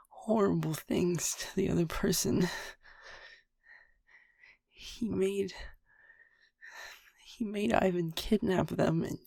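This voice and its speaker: A man voicing a timid, anxious, soft-hearted young man who hesitates and stammers in an audio roleplay. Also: high-pitched, creaky, husky, no room sound, full character voice.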